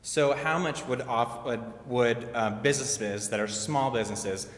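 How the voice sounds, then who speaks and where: A man's voice: mid-range voice, unhurried, slight background noise. A man speaking as a citizen at a council meeting, methodically putting questions in a public comment. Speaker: citizen questioner, trained in law. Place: indoor council chamber. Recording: echoey reverberant meeting hall.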